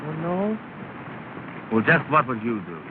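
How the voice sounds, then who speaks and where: An actor playing a haughty, jealous young gentleman suitor in early 1930s film style. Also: mid-range voice, very animated, unhurried. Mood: irritated. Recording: noisy, tinny 1930s film soundtrack.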